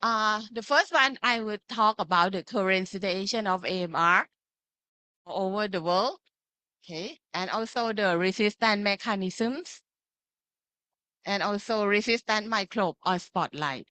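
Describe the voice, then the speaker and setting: Female lecturer, Thai accent, unhurried delivery, bright clear-cut tone, interactive class style with frequent little check-ins. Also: medium pitch, no room sound. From Thailand. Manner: simple phrasing, often checking with "right?" and "okay". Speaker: pharmacy lecturer. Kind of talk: interactive online lecture with slides.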